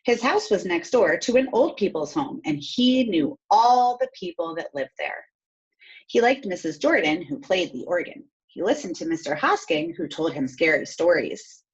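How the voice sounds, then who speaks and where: Lively woman teacher reading aloud, slightly muffled. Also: on the low side, normal speed, breathy edge. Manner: instructive, thinks aloud step by step. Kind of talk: storybook read-aloud with teaching asides.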